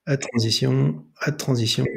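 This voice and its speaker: A man presents an improvised screen tutorial. His medium-pitched voice is gravelly and very slow. He talks through each step aloud in a hesitant way. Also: steady tone. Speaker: tutorial host.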